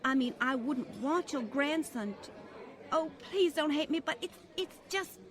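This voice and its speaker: A high, fluttery voice for a genteel grandmother of means, acted by a woman. She is prim and condescending, wrapped in affected politeness and easily flustered. The delivery is old-time radio drama. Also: animated, a little slow, a faint crowd. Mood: anxious, disapproving. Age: older.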